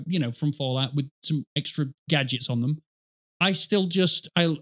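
A man's voice: fairly high pitch, a bit bright. A man in his thirties giving casual, rambling commentary as he reacts to a game, often correcting himself and swearing. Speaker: reaction video host. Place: small indoor room at a desk with headset.

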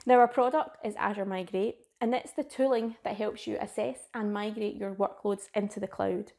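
A woman's voice: medium pitch, fairly even intonation, moderate pace, gravelly, warm tone. A Scottish woman in her thirties, a cloud advocate giving an enthusiastic, chatty, informal presented tech talk to a desk webcam in a small room. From Scotland. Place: small indoor room with a plain wall.

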